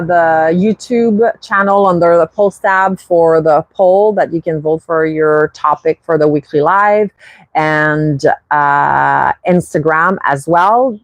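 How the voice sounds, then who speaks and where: Brief: unhurried middle-aged woman, Quebec French accent, live-stream host, lowish voice, dry close sound. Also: no room sound. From Quebec, Canada. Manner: unhurried, restarts often, reads viewer comments. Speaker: bird-keeping channel host. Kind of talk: casual livestream chat.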